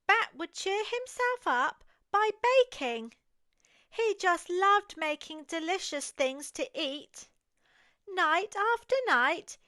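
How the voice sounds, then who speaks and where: A woman with an English accent reads a picture book aloud to young children in an expressive, deliberate storytelling manner. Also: extremely high pitch, no room sound, clean studio sound. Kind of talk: read-aloud picture book narration for toddlers.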